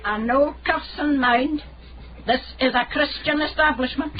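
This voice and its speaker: A woman acting a pious, sharp-tongued Scottish landlady in a radio drama, brisk, scolding and no-nonsense. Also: high voice. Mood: stern scolding. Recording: dull recording with faint noise.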